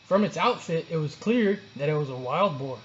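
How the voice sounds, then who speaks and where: Expressive storyteller reading a children's book. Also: mid-range voice, normal speed, dull audio, a little hiss or hum. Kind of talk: expressive children's read-aloud narration.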